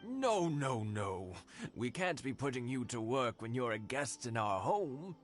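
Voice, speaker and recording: An actor playing a kindly, courteous elderly village husband in a video game. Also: male voice, medium pitch, big pitch swings, a little slow. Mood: politely insistent. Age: older. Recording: music behind the voice.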